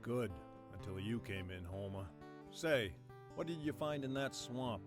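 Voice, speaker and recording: A man playing a gruff, defiant family man in an audio drama, speaking in a blunt, stern, confrontational way. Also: mid-range voice, lively intonation, measured pace, over music, natural voice. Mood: hostile.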